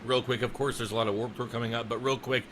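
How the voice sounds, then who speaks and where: A man's voice: medium pitch, level tone, fast, a bit bright, a little hiss or hum. A man hosting a podcast in a casual, enthusiastic chat, swearing now and then. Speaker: podcast host.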